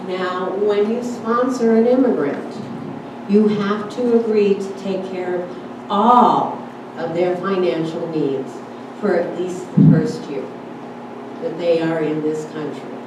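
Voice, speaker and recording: Unhurried older woman in a roomy hall. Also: lowish voice. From Germany. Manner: conversational, with frequent "you know" and "uh". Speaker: guest speaker at church forum. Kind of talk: personal storytelling talk. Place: church hall. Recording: roomy hall, distant mic, background noise.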